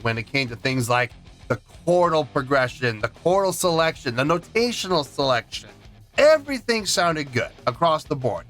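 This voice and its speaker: Guy doing a casual song review, kinda higher voice, repeats himself a lot, bit of music behind. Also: fairly bright tone. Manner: repeats and restarts phrases for emphasis. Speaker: music reaction channel host.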